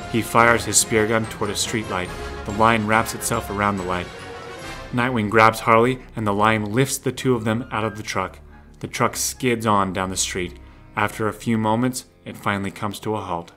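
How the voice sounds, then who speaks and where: Low-voiced male narrator. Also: bright, forceful tone. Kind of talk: scripted audio drama narration. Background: music.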